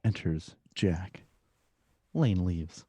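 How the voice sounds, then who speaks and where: A man's voice: sits low, animated, extremely slow, warm tone, husky, no room sound. A man reading out stage directions as scripted narration in a matter-of-fact way.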